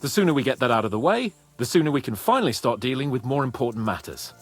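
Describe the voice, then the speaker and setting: An actor playing a proud, hot-headed young nobleman in a medieval video game, haughty and expressive. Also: mid-range voice, fast talker, soft background music. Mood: haughty, defiant. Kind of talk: video game cutscene acting.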